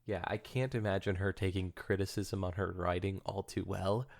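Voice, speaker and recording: A man's voice: low voice, steady tone, moderate pace, vocal fry, a little mellow. A man co-hosting a podcast, giving casual, opinionated commentary. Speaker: podcast co-host.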